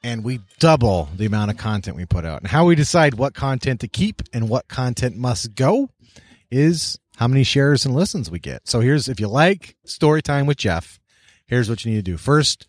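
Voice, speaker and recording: A man's voice: mid-range voice, very animated, moderate pace, dry recording. Direct male podcast co-host, dry sound. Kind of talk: casual podcast chat and listener address.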